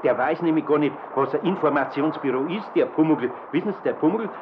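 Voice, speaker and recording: A man's voice: a little high, a little fast, close to an everyday voice. Children's radio play: kindly older Bavarian male, acted by a man, flustered, repeats himself, dull noisy sound. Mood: polite, hesitant.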